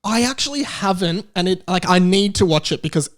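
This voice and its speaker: A man's voice: sits high, expressive, brisk. An Australian man hosting a podcast in casual comedic chat, teasing his guest as he goes. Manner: teasing, banter-filled. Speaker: podcast host.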